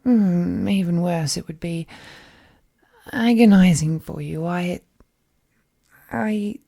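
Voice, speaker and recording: A woman playing an ancient, all-powerful female captor, possessive and lovesick, in an intimate villainous audio roleplay with a hushed, menacingly tender delivery. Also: on the low side, slow pace, creaky, heavily acted. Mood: hesitant, anguished. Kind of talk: scripted audio roleplay, intimate dramatic monologue.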